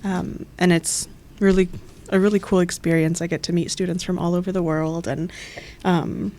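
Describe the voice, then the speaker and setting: A woman's voice: fairly low pitch, flat delivery, moderate pace, warm tone, a little hiss or hum. A young woman from Florida, an exchange coordinator, explaining her job in friendly detail in an interview. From Florida, USA. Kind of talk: interview answers.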